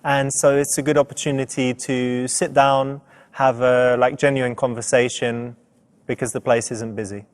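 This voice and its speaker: A man's voice: medium pitch, flat delivery, normal speed. A man speaking in a casual, chatty, explanatory way, giving advice in an informal conversational style. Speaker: dating advice presenter. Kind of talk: casual instructional commentary and conversation.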